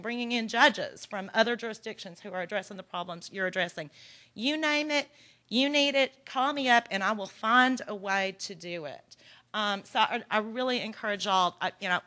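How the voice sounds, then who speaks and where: A woman's voice: medium pitch, bright, forceful tone. A woman from Texas with a Texan accent, giving an earnest, encouraging workshop presentation with a folksy manner. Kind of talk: conference presentation. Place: conference room.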